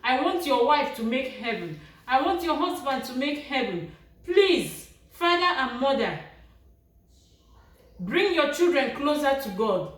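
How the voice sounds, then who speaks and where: Echoey, distant woman preacher pleading, high-pitched. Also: big pitch swings, a little slow. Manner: imploring, repetitive, emphatic begging. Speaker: evangelist preacher. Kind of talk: pleading evangelistic sermon. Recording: very echoey room, distant from mic.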